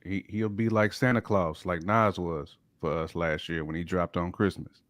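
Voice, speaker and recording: A man's voice: sits low, steady tone, normal speed, a bit bright, no echo. An American man co-hosting a casual hip hop discussion, talking in emphatic slang. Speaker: podcast host.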